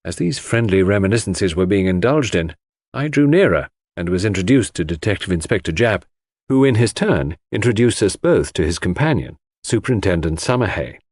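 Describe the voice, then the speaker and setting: Animated male audiobook narrator, dry sound. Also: deep voice, fairly quick, no room sound. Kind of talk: scripted narration of a classic detective story.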